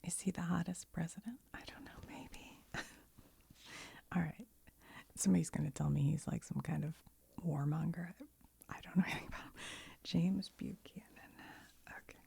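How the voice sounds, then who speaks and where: A woman whispering softly, mostly unvoiced, while she sorts and reads cards with chatty, giggly asides. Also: on the low side, steady tone, normal speed, creaky, pauses often, husky. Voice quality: soft whisper, often unvoiced. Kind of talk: whispered ASMR card sorting.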